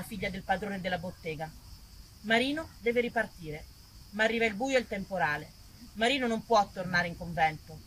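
A woman performing a live theatrical monologue, expressive and dramatic, speaking straight to her listeners as a storyteller. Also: medium pitch, a little hiss or hum, rough recording. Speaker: stage storyteller, actress. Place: live performance before a small audience.

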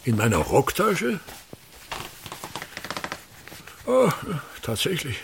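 A man's voice: on the high side, wildly swooping pitch, unhurried, gravelly, fairly bright tone, breathy edge, exaggerated voice. An older actor in a radio play, playing a pompous, embittered old touring stage actor and tyrannical father who declaims and complains theatrically. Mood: fretful, musing.

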